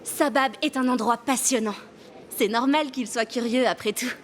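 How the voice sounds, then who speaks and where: A woman voicing a bright, friendly young girl in a French children's cartoon, with a spirited, expressive delivery. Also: fairly high pitch, moderate pace, noisy background, close to an everyday voice. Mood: confident. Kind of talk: French animated children's series dubbing.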